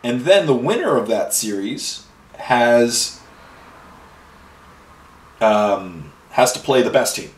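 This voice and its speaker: A man at home talks as he paints, rambling in an easy, chatty way and filling the pauses with "um" and "you know." His voice sits at a middle pitch, a little off mic, and it rings in an echoey room. A faint noise runs underneath. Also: expressive. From Ireland and Canada. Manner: rambling and chatty, with many fillers and asides. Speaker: miniature painting host. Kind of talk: casual chat while painting. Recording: roomy echo, voice a bit far from mic, faint noise.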